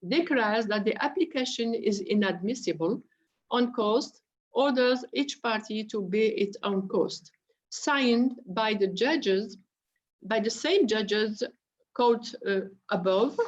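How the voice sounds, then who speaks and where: Higher-pitched woman judge on muffled audio. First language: French. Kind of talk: formal reading of a court judgment.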